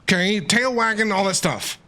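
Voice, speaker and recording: A man's voice: high voice, expressive, moderate pace, bright, forceful tone. A man doing live game commentary as a streamer, dramatically grumbling back at the characters.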